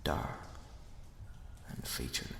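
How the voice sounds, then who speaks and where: A man narrating a ghost story as ASMR in a hushed, slow, dramatic whisper with a breathy, gravelly edge. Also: background noise. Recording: echoey, long cavernous reverb.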